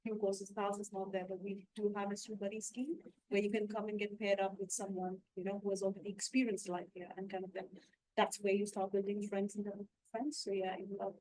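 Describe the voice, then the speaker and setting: A woman's voice: mid-range voice, little pitch movement, a little fast, dark tone, no echo, rough recording. A woman from India speaking on a student panel, talking in a chatty way and often adding on to what others said. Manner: chatty, adds on to others with fillers like "definitely" and "you know". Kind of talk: casual panel discussion.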